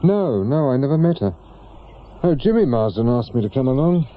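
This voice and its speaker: A man plays a retired British police detective in a radio drama. He questions hesitantly and with understated doubt, his pitch moving freely. The recording is slightly dull. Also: big pitch swings, normal speed, natural voice. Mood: polite, probing. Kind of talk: naturalistic radio drama acting.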